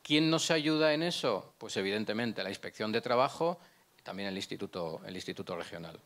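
A man's voice: fairly low pitch, animated, fairly quick, a bit bright. A middle-aged man giving a conference lecture as a prosecutor, holding a microphone, explaining step by step and asking himself questions, with frequent restarts. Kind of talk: lecture with slides. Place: conference room, indoors. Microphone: handheld microphone.

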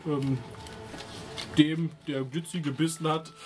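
A man giving a halting, wordy, jocular recap of a role-playing session as one of the players. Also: on the high side, steady tone, darkish tone. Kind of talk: unscripted recap of a game session.

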